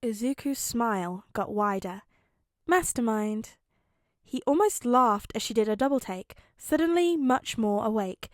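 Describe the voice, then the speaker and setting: A woman reads fiction aloud in an expressive narrating style. Her voice sits fairly high. The recording is close and dry. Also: moderate pace, hardly any pauses, dry recording. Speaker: podfic narrator.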